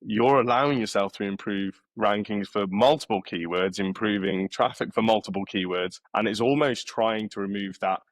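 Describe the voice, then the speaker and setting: A man hosting a podcast, explaining in a measured way. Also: lowish voice, no echo. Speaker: podcast host. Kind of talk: podcast interview.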